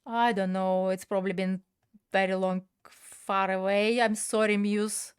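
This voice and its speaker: Slow-talking older woman streamer. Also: mid-range voice, flat delivery, clear, resonant tone. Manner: chatty, talks to viewers, laughs often. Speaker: game streamer. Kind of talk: casual live-stream chat while gaming.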